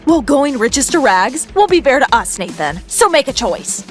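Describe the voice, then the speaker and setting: An actress playing a vain, hot-tempered, scheming young model in an audio drama. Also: female voice, high-pitched, very animated, moderate pace, faint music behind. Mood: scheming, impatient.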